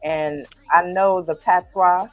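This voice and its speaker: A woman's voice: on the low side, steady tone, takes it slowly, no echo, muffled recording. An American woman hosting an internet radio interview. She asks questions casually, says "like" often, and restarts her sentences. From United States. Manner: peppers questions with "like", restarts them. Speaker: radio show host. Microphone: video call.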